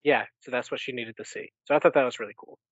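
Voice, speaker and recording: A man podcast host giving a casual recap in an animated storytelling way. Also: medium pitch, fast talker, no echo, highs cut off.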